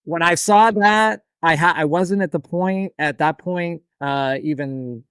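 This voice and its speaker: A man in his forties from the United States speaks as a podcast guest, his voice fairly high for a man and notably smooth and resonant. He tells his story in a relaxed, meandering way. The recording is dry and close. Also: measured pace, dry recording. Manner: relaxed, storytelling, some restarts. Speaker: author and farmer guest. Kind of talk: storytelling in an interview.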